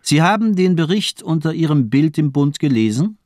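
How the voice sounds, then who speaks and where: A man's voice: fairly high pitch, big pitch swings, moderate pace, darkish tone, no echo, studio quality. An actor playing a sinister, cultivated doctor in a radio crime drama, eloquent and mockingly polite as he toys with his captive. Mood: probing, superior.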